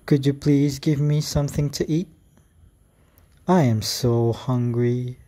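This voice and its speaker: A man's voice: medium pitch, fairly even intonation, slow, warm tone, close to an everyday voice. A man playing a mischievous but polite young boy in an amateur radio drama.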